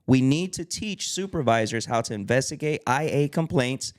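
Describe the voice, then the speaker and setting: A man's voice: medium pitch, expressive, moderate pace, a little mellow. A man hosting a podcast, enthusiastic and chatty, with many ums and restarts. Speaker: podcast host. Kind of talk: casual chat.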